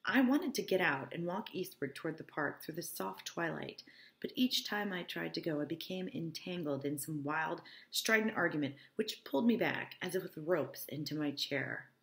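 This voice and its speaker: A woman's voice: low voice, animated, moderate pace, gravelly. A woman teacher reading a novel aloud and explaining it informally, often checking that listeners follow. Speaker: English teacher.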